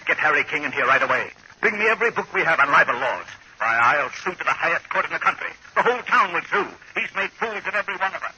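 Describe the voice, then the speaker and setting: A man's voice: on the high side, wildly swooping pitch, fast talker, creaky, full character voice. A man in 1940s radio acting, playing an outraged, blustering small-town boss who threatens to sue. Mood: furious. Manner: blustering, indignant. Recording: muffled, very noisy old radio recording.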